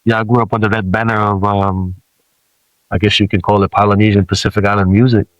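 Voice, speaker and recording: A man in his forties who grew up in Samoa, a rapper guest telling stories in relaxed, casual talk with many fillers. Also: lowish voice, quick pace, no echo, lo-fi sound.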